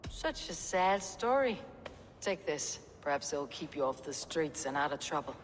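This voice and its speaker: A woman's voice: deep voice, sing-song, unhurried. A woman playing a stoic, wry and commanding Norse warrior woman in a video game. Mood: gentle, guarded. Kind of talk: video game dramatic acting. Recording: reverberant with faint background noise.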